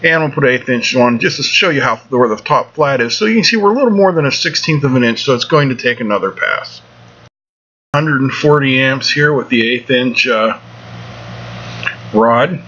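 A man's voice: medium pitch, expressive, moderate pace, sharp tone, slightly rough. A middle-aged man giving a casual welding lesson in a home shop, talking in a plain-spoken, folksy way. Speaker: welding instructor, show host. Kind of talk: casual instructional voice-over. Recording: dull lo-fi sound with faint air conditioning hum.

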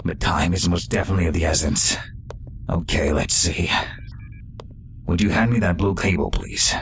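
A man's voice: sits low, steady tone, moderate pace, creaky, slight background noise. A man voicing a polite robot scientist with formal, precise speech in a comic dub. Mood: calm, formal.

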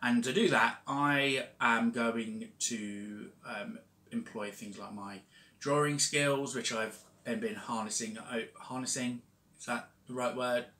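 A man's voice: mid-range voice, fairly even intonation, slow, pushed and bright. Man rambling in an echoey room. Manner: rambling, many fillers and restarts. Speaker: vlogger and self-published author. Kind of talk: casual talking-head vlog. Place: home studio room with shelves. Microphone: fixed camera at desk distance.

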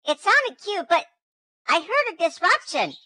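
A man's voice: very high voice, big pitch swings, unhurried, pushed and bright, dry recording, voice pushed up, heavily acted. A man voicing a stern, fussy female schoolteacher in a children's story. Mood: brisk, instructive.

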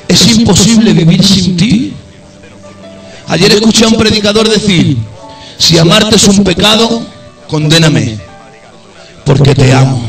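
A man delivers a fervent sermon in a noticeably high voice. He sinks into low, confiding stretches before climbing to loud, ringing peaks, with clear music playing under him throughout. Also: distant mic. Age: adult. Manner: impassioned, swings between low intimate tones and high shouted peaks. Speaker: preacher.

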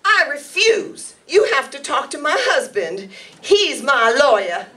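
Shrill, squeaky gangster's moll. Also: female voice, big pitch swings, slow pace, bright, forceful tone, heavily acted. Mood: indignant, whining. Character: dim, dramatic young gangster's moll, pleading and defiant. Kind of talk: 1950s radio noir acting. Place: live stage reading in a meeting hall. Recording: distant microphone in a hall.

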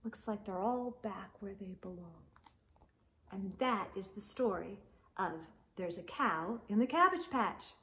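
A middle-aged woman reading a picture book aloud to young children in an expressive, sing-song way. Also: mid-range voice, measured pace, a little hiss or hum. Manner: expressive, sing-song delivery with animal sounds and hushed asides. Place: living room or home library with bookshelves.

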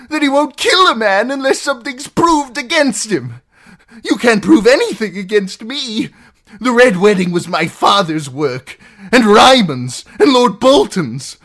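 A shrill, squealing captive nobleman in a fantasy audiobook. He whines and pleads very high, frantic and self-excusing, with wildly swinging pitch. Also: animated, slow pace, a bit bright, heavily acted. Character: cowardly, pleading captive lordling, adult man, whining and shrill. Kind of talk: audiobook character acting.

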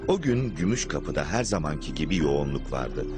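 A man's voice: rumbling low, big pitch swings, fairly quick. A man narrating a scripted children's cartoon story. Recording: loud music behind the voice.

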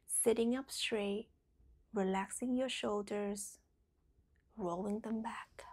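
A woman leading a guided meditation in a soothing, deliberate way, letting phrases trail into a breathy whisper. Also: mid-range voice, steady tone.

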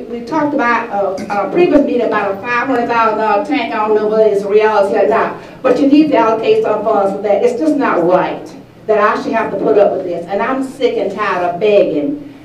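A woman's voice: mid-range voice, a little mellow, a little hiss or hum. An older woman speaking at public comment in a council meeting, emphatic and exasperated. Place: large council chamber. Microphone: podium microphone heard from a distance. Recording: echoey chamber, mic at a distance.

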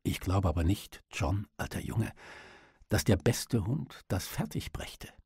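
A friendly but doubtful gold miner, an old pal in an audiobook tale, voiced by a male narrator. His voice is mellow and husky, and he speaks frankly. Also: on the low side, expressive, a little slow, no room sound, clean studio sound, full character voice.

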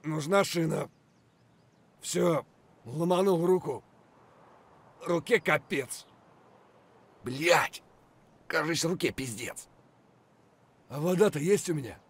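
Husky, gruff game soldier. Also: male voice, a little high, takes it slowly, pauses often, exaggerated voice. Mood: pained, weary. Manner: terse, clipped phrases. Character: gruff, weary, foul-mouthed Russian soldier. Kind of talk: military shooter game voice lines.

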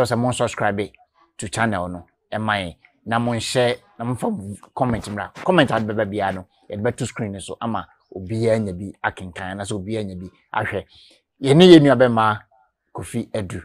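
A man's voice: on the low side, fairly quick. A man in his thirties with a Ghanaian accent, hosting an outdoor interview in a mix of Twi and English on a lapel mic. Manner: lively, mixes Twi and English, makes channel announcements. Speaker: interview host. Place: outdoors in a garden yard.

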